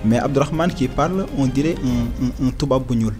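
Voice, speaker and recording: A man's voice: mid-range voice, a little fast, over music. A man, interviewing a politician on the radio and pressing him with challenging questions.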